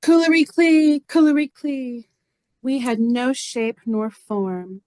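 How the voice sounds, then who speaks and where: A woman's voice: medium pitch, animated, measured pace, darkish tone, no echo, lightly acted. A woman reading the part of an earnest, weary, otherworldly mother and farm neighbor in a stage play. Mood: wistful, earnest. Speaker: actor in a staged reader's theater.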